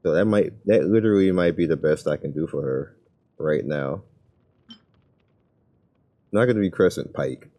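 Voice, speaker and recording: A man's voice: extremely low pitch, normal speed, long pauses, dark tone. A man giving casual gameplay commentary, thinking aloud in informal, slangy, muttered asides. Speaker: gaming video creator.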